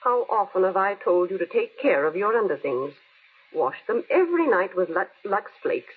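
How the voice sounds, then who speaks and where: An actress playing a brisk, gently scolding mother in an old radio commercial. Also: medium pitch, very animated, husky. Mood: brisk, chiding. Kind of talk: 1930s-40s radio ad acting. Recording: tinny old radio broadcast.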